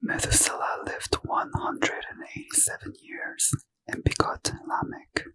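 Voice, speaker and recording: Male ASMR reader, bedtime scripture in a slow, airy whisper with a sharp, bright edge. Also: poor audio. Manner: slow, soft unvoiced whisper.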